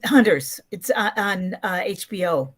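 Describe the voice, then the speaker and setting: An older woman, around her seventies, speaks in a lowish voice as a podcast guest. She tells anecdotes in a casual, emphatic way, slipping into quoted dialogue as she goes. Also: expressive, measured pace, husky.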